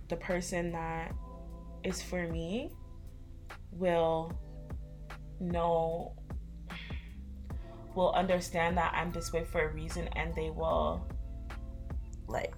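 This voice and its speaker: A young woman from Toronto talks with a local accent in a lowish voice. She is blunt and slangy and fills her speech with "like". Quiet music plays under the chat. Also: takes it slowly, pauses often. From Toronto, Canada. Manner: blunt, slangy, heavy use of "like". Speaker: podcast co-host. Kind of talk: casual chat.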